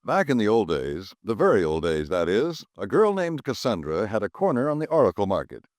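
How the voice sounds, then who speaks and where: Animated 1950s radio announcer. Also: male voice, fairly low pitch, fairly quick, no echo, clean studio sound. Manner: smooth, polished announcer delivery.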